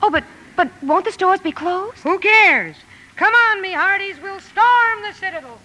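A woman's voice: very high-pitched, normal speed, fairly bright tone, slight background noise, poor audio. An actress playing a spirited, impulsive tomboy young woman in a period drama, hearty, eager and theatrical. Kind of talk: period radio drama acting with narration.

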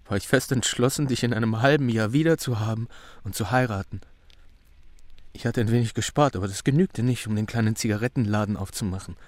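A man's voice: medium pitch, dry recording, lightly acted. An actor playing a troubled, bewildered young man in a radio drama, recounting things in an earnest, brooding way. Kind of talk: German radio drama acting.

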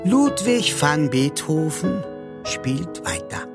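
A man's voice: a little high, very animated, takes it slowly, loud music behind the voice. A man playing a mock-solemn storyteller, narrating an absurd radio play with theatrical announcements.

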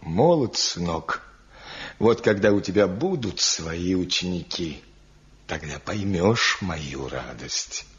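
An actor playing an old master woodcarver in a radio drama, gentle, proud and fatherly, often repeating his words. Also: sits low, lively intonation, slow pace. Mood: fond, joyful. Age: older. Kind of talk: Soviet radio drama acting. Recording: dull 1970 radio play recording.

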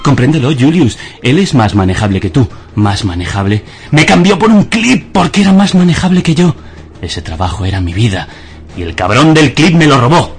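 Vengeful frustrated man writer: Spain accent, theatrical menace, very lively pitch, radio comedy drama. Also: normal speed, slightly rough. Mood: bitter, ranting. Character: exasperated, vengeful would-be writer with murderous grudge.

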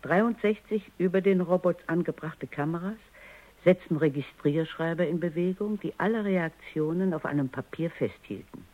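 An actress playing a stern, coolly analytical robot psychologist in a radio drama, precise, commanding and didactic. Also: female voice, fairly low pitch, moderate pace, natural voice. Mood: measured narration. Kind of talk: German radio drama acting. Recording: muffled, dull radio drama recording.

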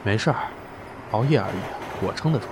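A man playing a worn-out train driver who fights off sleep with drowsy, drawn-out sighs in an audio horror drama. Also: on the low side, expressive, noisy background, lightly acted. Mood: weary, reassuring.

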